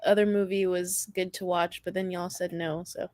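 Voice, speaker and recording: A woman's voice: on the low side, flat delivery, normal speed, darkish tone, no echo, studio quality. A woman chatting casually and conversationally as a panelist on a podcast.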